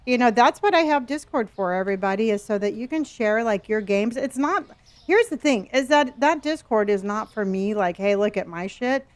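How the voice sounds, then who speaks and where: Older woman, early 60s, casual streamer type, talks to chat and trails off mid-thought, slightly higher voice. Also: animated, normal speed. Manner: chatty, reads chat aloud, trails off and restarts.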